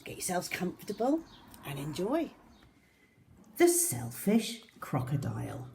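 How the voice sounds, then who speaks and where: Slow, low-pitched woman reading to children. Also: creaky, slight background noise. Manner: deliberate, expressive, addressing small children. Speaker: children's story-time reader and teacher. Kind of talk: storybook read-aloud for young children.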